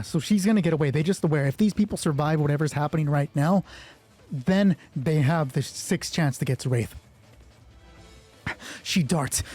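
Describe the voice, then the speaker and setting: A man's voice: fairly high pitch, darkish tone, breathy edge. A man narrating an improvised solo tabletop game, thinking aloud casually and swearing freely. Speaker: solo roleplay player and narrator.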